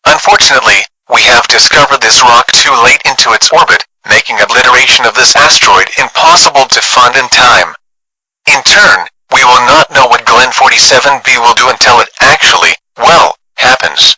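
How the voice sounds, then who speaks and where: A man playing a grave space agency official, giving a formal, measured emergency announcement. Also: medium pitch, expressive, bright, forceful tone, breathy edge, dry recording, full character voice, rough recording. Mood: solemn. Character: grave male space agency official delivering a solemn televised warning.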